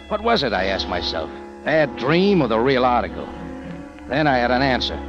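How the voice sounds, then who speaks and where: A male actor with an American accent playing a tough, weary adventurer narrating his own story in a wry 1940s radio drama style. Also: on the high side. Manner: hard-boiled, wry, dramatic. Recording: dull vintage radio recording, music behind.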